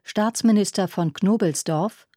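A woman's voice: mid-range voice, a little slow, mellow, no room sound, studio quality. A woman radio announcer reading out programme credits in a formal style.

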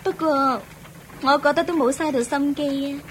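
A woman with a Hong Kong Cantonese accent playing a gentle, devoted queen and foster mother in a radio drama, respectful and measured. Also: sits high, fairly even intonation, slight background noise. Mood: fond, proud.

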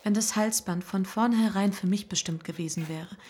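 An actress playing a shy, devoted young woman in a radio play, emotional and often hushed. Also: mid-range voice, normal speed, a little hiss or hum, natural voice. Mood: anxious, hesitant. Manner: emotional, breaking into hushed whispers. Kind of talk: German radio play acting. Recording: studio radio play with music underneath.

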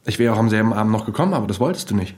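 An actor in a German radio play playing a blunt, prying friend who talks in a chatty, teasing, informal way. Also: male voice, on the low side, lively intonation, very fast, a little mellow, close to an everyday voice. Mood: probing.

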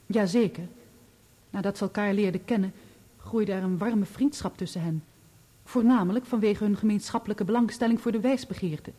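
Composed, mellow-voiced woman witness played by an actress. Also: medium pitch, lightly acted. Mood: composed, assured. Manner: measured, articulate answers in full formal sentences. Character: composed, loyal bereaved woman testifying as a witness. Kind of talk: Dutch radio play acting.

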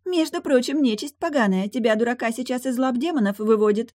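A woman's voice: a little high, a little fast, a little mellow, no echo, studio quality, pitched above the performer's own voice, lightly acted. A woman voicing a young female mermaid in an audiobook, teasing, carefree, sly and haughty, with brash exclamations. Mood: impatient, scornful.

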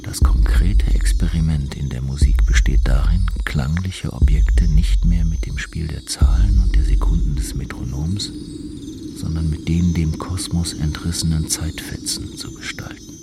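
Actor as grave lecturer with an extremely deep voice. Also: male voice, moderate pace, creaky, music in the background. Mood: solemn, contemplative. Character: grave male lecturer reciting philosophical journal entries. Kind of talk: recited reading of theoretical texts, radio play.

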